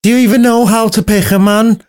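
A man's voice: high-pitched, steady tone, fairly quick, darkish tone, smooth, voice pushed up, lightly acted. A man voicing a bossy, crude old woman nurse in a storybook retelling, snapping and threatening. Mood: snappish.

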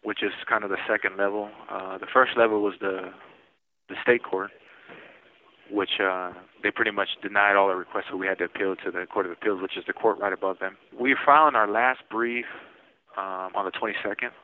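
A man in his forties talking casually from prison over the phone, halting and often restarting his words. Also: fairly low pitch, steady tone, slight background noise. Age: 40s. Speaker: interviewee in prison. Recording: tinny prison phone line.

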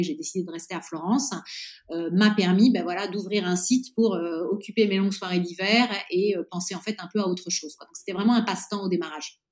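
A woman's voice: on the low side, a little mellow. A woman in her late thirties from France, a podcast guest talking casually in an interview, with lots of hesitations and restarts. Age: 30s. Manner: hesitant, many fillers and restarts.

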